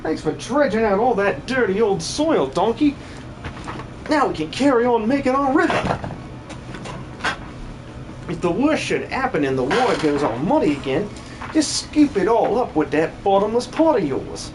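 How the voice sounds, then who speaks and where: A man's voice: sits high, big pitch swings, normal speed, pauses often, background noise. A man voicing an eager, folksy country villager in a hobby video game dub, effusive and exclamatory. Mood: pleading, grateful. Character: eager, chirpy country villager tending a river.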